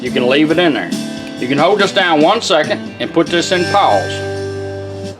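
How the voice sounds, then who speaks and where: A man's voice: fairly high pitch, lively intonation, unhurried, over music. A plainspoken, folksy man giving a casual, step-by-step hands-on product demonstration. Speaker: product demonstrator and car radio rebuilder.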